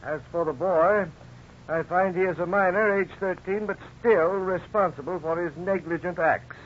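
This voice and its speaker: Man acting a strict formal judge, pretty high voice for a guy, muffled 50s radio play. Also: a little hiss or hum, natural voice. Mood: stern, formal. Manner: formal, measured. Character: stern, formal male judge delivering a ruling. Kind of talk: 1950s radio drama acting. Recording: dull 1950s radio recording.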